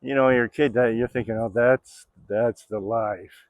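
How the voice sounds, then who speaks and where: A man's voice: medium pitch, steady tone, normal speed, dry recording, phone-quality sound. A man in his sixties from Florida, chatting casually in a rambling vlog while riding a bike on an outdoor trail. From Florida, USA. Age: 60s. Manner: rambling, chatty, with many fillers. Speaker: vlogger.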